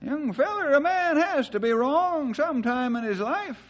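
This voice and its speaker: High-pitched folksy old western sidekick. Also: male voice, lively intonation, normal speed. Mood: worried. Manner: folksy frontier drawl, colloquial. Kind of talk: old-time radio western drama acting.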